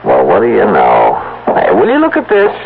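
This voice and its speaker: Through a narrow, faintly noisy vintage radio sound comes a stubborn farmer husband. His lowish voice swings wildly in pitch. He speaks plainly and insistently, pressing his point with excitable conviction. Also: moderate pace, gravelly, heavily acted. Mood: excited, urgent. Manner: urgent, insistent, plain folksy speech. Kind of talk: 1970s radio drama acting. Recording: tinny, band-limited old radio broadcast, noisy.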